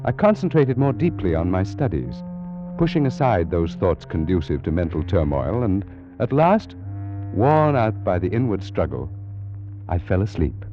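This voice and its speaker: Low, dramatic scholarly Egyptologist. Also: male voice, big pitch swings, moderate pace, music in the background. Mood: uneasy, suspenseful. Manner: formal, precise, dramatic storytelling. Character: scholarly male British Egyptologist recounting an eerie adventure. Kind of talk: 1940s radio drama narration. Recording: muffled, noisy vintage radio recording.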